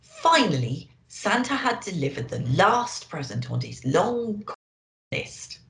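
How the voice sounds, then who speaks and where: A woman reading a children's storybook aloud in an expressive, theatrical way, addressing young listeners. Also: medium pitch, slow, vocal fry, slight background noise. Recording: echoey, roomy sound.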